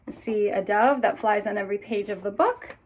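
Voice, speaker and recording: A woman's voice: mid-range voice, a little fast, slight background noise. A woman in her thirties, a children's author, reading picture books aloud expressively and speaking directly to young listeners. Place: small indoor room with bookshelves.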